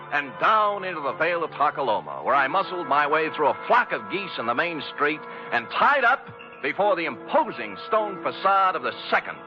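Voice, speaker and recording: A hard-boiled radio detective with a fairly high, swooping delivery. He tells his caper with dry wit and florid flourishes, over prominent music on a dulled vintage broadcast recording. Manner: wry, deadpan, florid storytelling. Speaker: lead actor and narrator. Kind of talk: 1940s radio detective acting and narration. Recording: muffled old radio recording, music behind.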